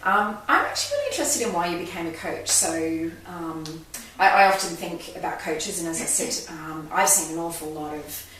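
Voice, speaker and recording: A woman's voice: lowish voice, sing-song, normal speed, hardly any pauses, a bit bright. A woman hosting an interview, upbeat and encouraging. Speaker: host. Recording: echoey room, mic far off, faint hiss.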